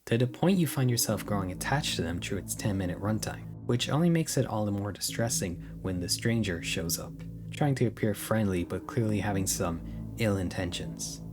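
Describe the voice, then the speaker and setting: A man's voice: on the low side, normal speed. A man narrating a video essay in a casual, opinionated way. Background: music.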